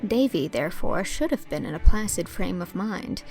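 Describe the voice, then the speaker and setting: A woman's voice: fairly low pitch, animated, normal speed, darkish tone, faint music behind, low-quality recording. A woman reading scripted dramatic narration as the narrator of an audio storybook.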